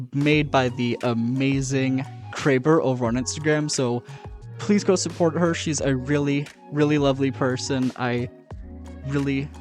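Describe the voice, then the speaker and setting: A man's voice: mid-range voice, a little mellow. A man from Texas giving a casual, upbeat vlog update from his bedroom, often repeating words for emphasis. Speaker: furry vlogger.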